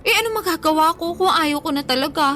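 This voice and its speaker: An actress playing a whiny, stubborn young food lover with a Filipino accent, defensive and chatty in a radio drama. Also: female voice, high-pitched, quick pace, lightly acted. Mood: defiant, whiny. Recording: music bed under the dialogue.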